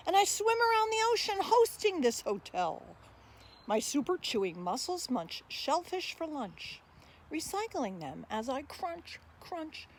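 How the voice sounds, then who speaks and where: Earnest mighty sea turtle voiced by a woman, animated. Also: high voice, heavily acted. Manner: emphatic rhyming storybook delivery.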